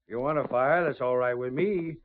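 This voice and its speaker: An actor playing an excitable outlaw rider with folksy American cowboy speech in an old radio western. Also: male voice, medium pitch, brisk, close to an everyday voice. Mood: puzzled, irritated. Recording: tinny, band-limited vintage radio recording.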